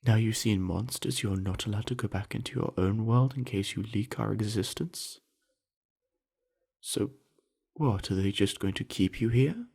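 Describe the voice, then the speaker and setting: Guy doing a big friendly orc jock for asmr, deep n husky, easygoing himbo, bit flirty. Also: measured pace, darkish tone, full character voice. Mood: friendly, inviting. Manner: laid-back, easygoing himbo with casual slang. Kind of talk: ASMR roleplay acting, addressed to the listener.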